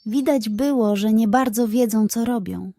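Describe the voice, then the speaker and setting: A woman narrating a scripted children's bedtime story in a measured, expressive manner. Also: fairly high pitch, dry recording, studio quality.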